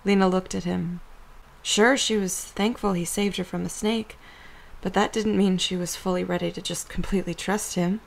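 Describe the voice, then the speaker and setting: A woman's voice: lowish voice, steady tone, normal speed, vocal fry, a little hiss or hum. A woman narrator reading a scripted story for an audio drama.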